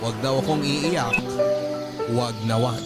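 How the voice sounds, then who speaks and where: A male radio storyteller with a medium-pitched voice reads a personal story dramatically. His delivery is solemn and measured. Music plays prominently behind him. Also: fairly even intonation. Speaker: radio host reading a listener's letter.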